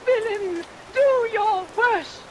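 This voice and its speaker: Hiss and rain cover a young heroine in peril. The voice is very high, defiant and virtuous, declaiming grandly as in old radio melodrama. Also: female voice, slow. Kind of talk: 1940s radio melodrama acting. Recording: hissy vintage radio recording, rain over it.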